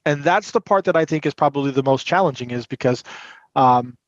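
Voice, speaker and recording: A man's voice: mid-range voice, a little fast. A man talking as an expert interview guest, explaining things earnestly in a conversational tone on muffled, roomy audio. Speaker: podcast guest, credit union compliance and recovery manager.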